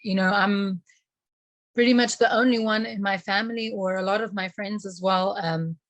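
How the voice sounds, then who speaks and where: A woman's voice: mid-range voice, fairly even intonation, moderate pace, no room sound, dull audio. Earnest young woman, dry close sound. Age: young adult. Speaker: guest. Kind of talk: casual personal sharing.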